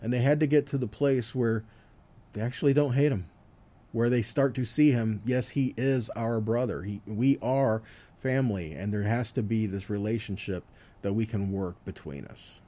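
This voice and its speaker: A man's voice: mid-range voice, normal speed, dark tone. A man giving an informal Bible teaching, talking conversationally and often checking in with rhetorical questions. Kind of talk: informal teaching sermon with scripture readings.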